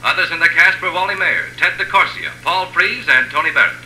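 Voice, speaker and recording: A man's voice: on the high side, a little fast, pushed and bright. An American man announcing on 1950s radio in a polished, emphatic scripted style. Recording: old 1950s radio, echoey, hissy hum.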